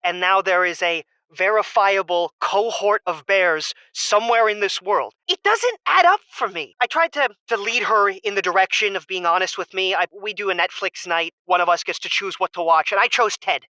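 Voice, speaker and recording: A man's voice: high voice, normal speed, few pauses, sharp tone, clean tone, dry recording, rough recording. A man playing an anxious, suspicious husband calling a radio advice show, stammering and agitated in a comedy audio drama. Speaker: caller.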